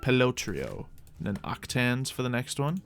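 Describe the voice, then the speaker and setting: A man's voice: medium pitch, sing-song, normal speed, creaky, a bit bright. A man hosting a gaming series, thinking aloud in light roleplay with mock-archaic, formal fantasy phrasing. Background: music.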